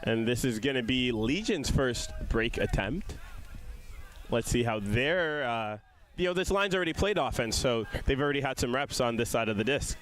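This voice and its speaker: A man's voice: mid-range voice, moderate pace, fairly bright tone. A young man provides live sports commentary. He has a mid-range voice and talks casually, explaining plays and chatting with his booth partner.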